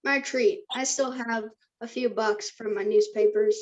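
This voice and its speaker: A woman's voice: fairly high pitch, steady tone, unhurried, darkish tone, dull audio, close to an everyday voice. A woman reading the part of a generous, easygoing teenage boy in casual scripted dialogue.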